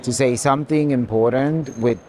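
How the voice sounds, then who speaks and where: A man speaking as an art curator, reflective and halting as he searches for words in unscripted commentary. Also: mid-range voice, darkish tone. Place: art fair, walking tour. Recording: background noise of a busy hall.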